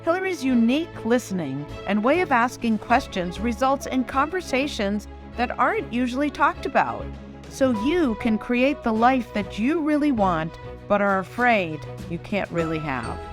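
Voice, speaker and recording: A woman announcer reading a scripted show introduction in a lively promotional manner. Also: a little high, normal speed. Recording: voice-over with clear music bed.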